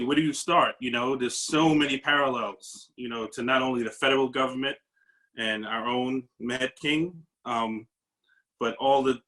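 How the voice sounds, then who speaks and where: A man joining over a video call speaks with a forceful, tense edge to his voice. His tone is earnest and reflective, and he sometimes stops and restarts as he works through his thoughts. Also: medium pitch, rough recording. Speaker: paramedic lieutenant, panel guest. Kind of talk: earnest panel discussion.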